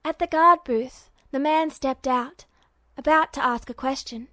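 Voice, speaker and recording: A woman's voice: high voice, a little slow, bright, forceful tone, dry recording. A woman reading a scripted story aloud as narrator.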